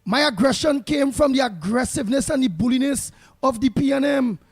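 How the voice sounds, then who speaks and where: A man with a Trinidadian Creole accent chatting casually as a co-host, in an animated, colloquial, sing-song way. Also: very high-pitched, brisk, gravelly, slightly rough, soft background music. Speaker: talk show co-host. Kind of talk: casual political chat.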